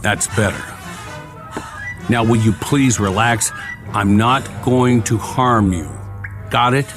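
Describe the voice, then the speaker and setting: An actor playing a weary, sardonic soldier in a radio drama, gruff but not cruel, with a wry, bossy, colloquial way of talking. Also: male voice, lowish voice, takes it slowly. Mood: firm, weary. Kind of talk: dramatic radio acting, often talking to himself. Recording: studio radio drama with music behind.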